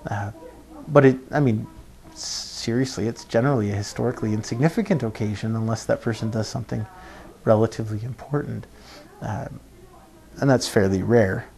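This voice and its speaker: A man giving an informal expository sermon as a preacher, halting and thinking aloud, often checking in with a short tag question. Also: lowish voice, vocal fry, darkish tone, rough recording. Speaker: preacher teaching a Bible passage.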